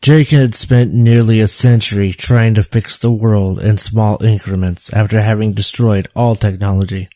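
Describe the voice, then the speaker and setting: Man playing a dark-voiced, haunted survivor. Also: fairly low pitch, fairly even intonation, normal speed, hardly any pauses, lightly acted. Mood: haunted, regretful. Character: tormented male shepherd recounting a painful past. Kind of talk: audio drama dialogue, confessional storytelling.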